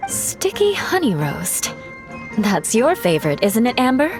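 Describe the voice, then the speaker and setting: A woman's voice: fairly high pitch, wildly swooping pitch, a little slow, over loud music, exaggerated voice. A woman playing a proud, aloof young noblewoman warrior in a video game, with haughty, formal phrasing. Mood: cool, teasing. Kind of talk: video game character acting.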